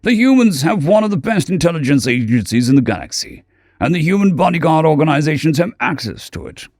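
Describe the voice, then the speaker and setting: A man's voice: fairly high pitch, big pitch swings, brisk, a little mellow, no room sound, clean studio sound, voice pushed up. A man voicing a stern alien fixer. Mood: urgent. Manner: stern, lecturing. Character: alien male tavern fixer, wary and blunt.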